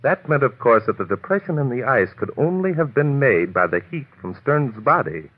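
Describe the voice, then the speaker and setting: An actor playing a suave, assured amateur detective in an old-time radio drama, speaking articulately and deliberately. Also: male voice, mid-range voice, very animated. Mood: composed explaining. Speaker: detective and story narrator. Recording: muffled vintage radio recording.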